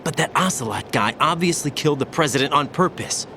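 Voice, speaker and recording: A man's voice: a little high, animated, brisk, background noise. A man playing an earnest, uneasy young special operative in a dramatic video game, always questioning. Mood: suspicious, uneasy.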